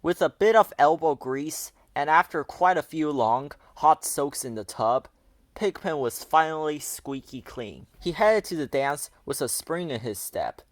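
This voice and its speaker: Animated man reading a children's storybook. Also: on the high side, measured pace, dry recording. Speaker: storybook narrator. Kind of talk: children's read-aloud narration.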